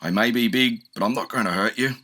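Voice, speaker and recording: A man voicing a big, gentle, furry male diprotodon who talks in a kindly, reassuring way in a children's storybook. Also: mid-range voice, fairly quick. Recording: clear noise with cricket ambience.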